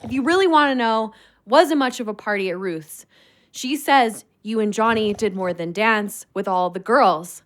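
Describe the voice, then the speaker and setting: A woman's voice: a little high, normal speed, natural voice. An actress playing a fed-up, hard-working young girlfriend who stands her ground in a naturalistic audio drama. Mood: indignant, suspicious. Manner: confrontational, exasperated.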